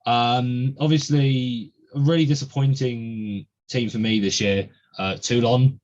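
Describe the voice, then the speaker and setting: A man's voice: medium pitch, fairly even intonation, measured pace, darkish tone. Young male host, chatty and filler-heavy; muffled lo-fi recording. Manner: chatty, many ums and uhs. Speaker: podcast host. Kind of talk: casual podcast chat.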